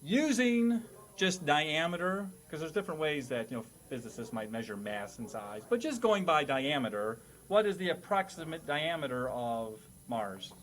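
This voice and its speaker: A man hosting a comedy quiz as a mock schoolteacher, speaking with halting, playful formality. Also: on the high side, very animated, a bit bright. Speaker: host and quizmaster playing a classroom teacher.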